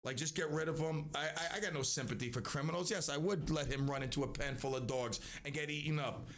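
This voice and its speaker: A man's voice: fairly high pitch, fast, vocal fry, fairly bright tone. A man hosting a live stream, talking in a casual, rambling way with many restarts and asides.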